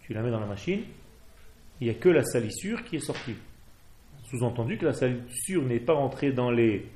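A man's voice: medium pitch, animated, normal speed, dark tone, echoey room, a little hiss or hum. Fervent Israeli-accented man teaching Torah. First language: Hebrew. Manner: fervent, exhorting, mixes Hebrew terms. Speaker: rabbi. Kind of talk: Torah class.

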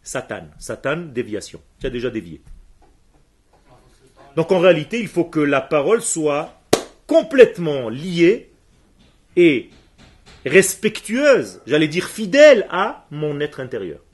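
Man, native Hebrew speaker, husky voice, lively up-and-down pitch, emphatic teacher quizzing a class. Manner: emphatic, asks the room questions, answers students quickly. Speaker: religious teacher. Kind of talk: teaching a class with questions from students.

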